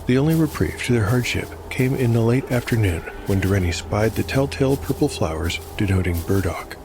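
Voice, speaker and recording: A man reading scripted dark fantasy narration in a measured storytelling manner. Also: on the low side, animated. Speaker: narrator and host. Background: music.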